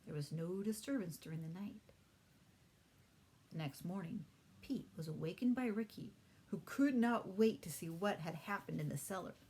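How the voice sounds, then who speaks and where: A woman's voice: fairly low pitch, moderate pace, mellow, husky, low-quality recording. A woman reading a children's storybook aloud expressively, as a teacher does for her class.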